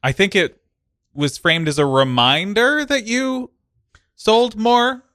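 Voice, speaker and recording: A man's voice: high-pitched, slow, pushed and bright, dry recording, clean studio sound, voice pushed up, exaggerated voice. A man improvising a wounded salesman in a comedy scene, sputtering and offended at his coworker. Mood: indignant.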